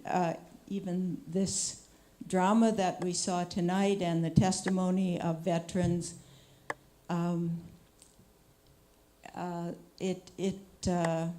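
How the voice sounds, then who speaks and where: An older woman, a journalist on a panel, answering a question frankly and in a measured way, with many pauses. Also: lowish voice, warm tone. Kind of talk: answering questions at a public talk.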